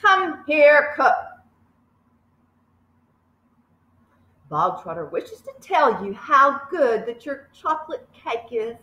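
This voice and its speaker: A woman puts on a huge, cruel headmistress in a storybook reading, booming and sneering at a slow, heavy pace. The voice is sharp and bright, swinging widely up and down. It rings in a room with a clear echo. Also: sing-song, heavily acted. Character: fierce bullying headmistress, big and cruel. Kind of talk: reading a children's book aloud.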